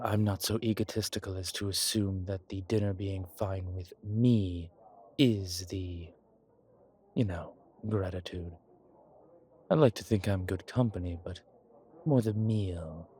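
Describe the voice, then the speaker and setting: A man playing a suave, grateful old vampire in a roleplay audio, speaking formally with pauses and quiet amusement. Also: on the low side, a little hiss or hum. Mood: warmly amused. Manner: genteel, formal, hesitant pauses, soft chuckles. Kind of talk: intimate one-sided roleplay audio drama.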